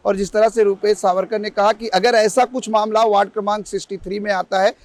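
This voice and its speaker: A man's voice: high voice, little pitch movement, fairly quick, no room sound. A man working as a news reporter, asking questions and summing up in wordy field-report style.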